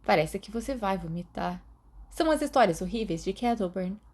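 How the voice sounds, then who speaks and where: A woman's voice: mid-range voice, lively intonation, moderate pace, a little mellow, lightly acted. A woman with a Brazilian Portuguese accent voicing a chatty young witch who whispers with concern. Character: young girl, chatty and fussing.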